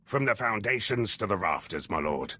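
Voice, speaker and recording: An actor voicing a gruff, battle-hardened soldier in a video game, terse and blunt, speaking in clipped sentences. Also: male voice, on the low side, lively intonation, moderate pace, pushed and bright, a little hiss or hum. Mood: proud, matter-of-fact. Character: gruff, battle-hardened male military commando, loyal and brash.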